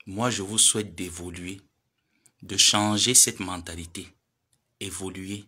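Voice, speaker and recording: A man's voice: low voice, fairly even intonation, unhurried, pushed and bright. A man, lecturing and pleading in a social media live monologue. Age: 30s. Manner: preachy, lecturing, pleading then scolding.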